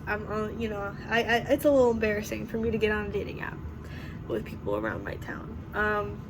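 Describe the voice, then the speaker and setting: A young woman in her twenties talking casually and rambling at home, slightly hoarse from a cold. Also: mid-range voice, expressive, brisk. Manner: rambling, chatty, self-correcting, laughs often. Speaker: vlogger. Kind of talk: casual chat. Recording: clear background noise.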